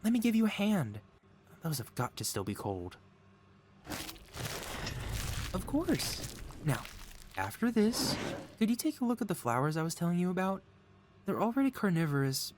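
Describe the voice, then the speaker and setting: A man's voice: on the high side, brisk, slight background noise. A man acting a doting, possessive supervillain scientist. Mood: cheerful, eager. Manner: soothing, coaxing, flattering, feigned innocence. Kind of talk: ASMR audio roleplay, intimate one-sided dialogue.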